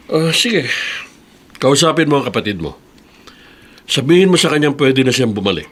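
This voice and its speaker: An actor playing a proud, unyielding company boss in a Filipino radio drama, with an authoritative, emphatic delivery. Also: male voice, mid-range voice, very animated, moderate pace, fairly bright tone, slightly rough. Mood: businesslike.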